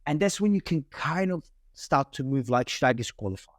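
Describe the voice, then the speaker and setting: A man with an Italian accent, a native Italian speaker, explaining sales calls as a guest in a casual interview, animated and quick with many restarts. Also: a little high, no room sound, studio quality. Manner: animated, talks fast with frequent restarts.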